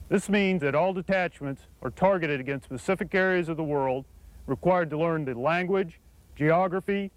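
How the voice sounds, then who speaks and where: A man, an army captain, presenting his unit in a formal, scripted military briefing with crisp, measured delivery. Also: a little high, no echo, poor audio.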